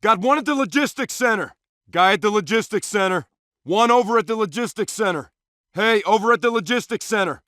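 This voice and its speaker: Video game SWAT officer, very high male voice, sharp edge, urgent, commanding, clipped tactical callouts, dry recording. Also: expressive, brisk, dry recording. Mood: tense reporting.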